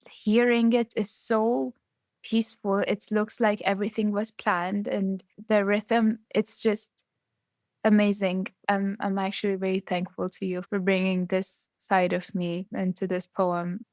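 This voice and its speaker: Young woman guest, tearful and heartfelt, gravelly edge, dry close sound. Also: medium pitch, a little mellow, no room sound. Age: 20s. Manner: heartfelt, emotional. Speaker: guest poet.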